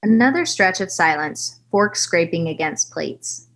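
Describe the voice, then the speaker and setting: A woman's voice: fairly low pitch, lively intonation, measured pace, fairly bright tone. A woman narrating stage directions in a scripted style for a comedy table read.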